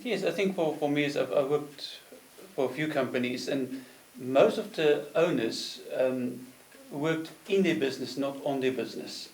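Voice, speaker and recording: A man, a business consultant, talking earnestly about his work, phrasing slightly halting. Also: mid-range voice, darkish tone, distant mic. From South Africa. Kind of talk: casual interview chat. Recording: echoey room, off mic.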